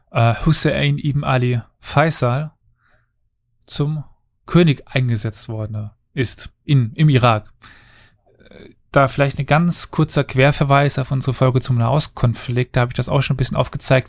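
A man hosting a casual history podcast, telling the story at length with lively explanations. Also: medium pitch, normal speed, no room sound.

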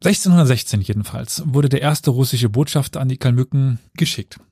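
A man's voice: mid-range voice, brisk, warm tone, slightly rough, no echo. A man hosting a casual history podcast, explaining at length with fillers and dry self-mocking asides. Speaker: podcast host telling history.

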